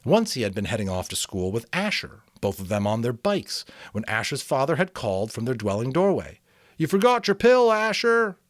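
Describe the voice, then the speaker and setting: Lively man reading a story aloud. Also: fairly low pitch, a little fast, few pauses, dry recording, studio quality. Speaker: amateur voice actor reading an audiobook, commenting. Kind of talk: dramatic audiobook reading with casual commentary.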